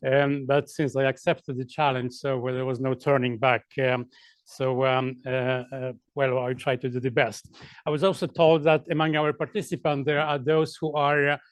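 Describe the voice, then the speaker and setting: A man, a lawyer giving a seminar talk, halting with many fillers and dry asides. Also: medium pitch, little pitch movement, clear, resonant tone, dry recording.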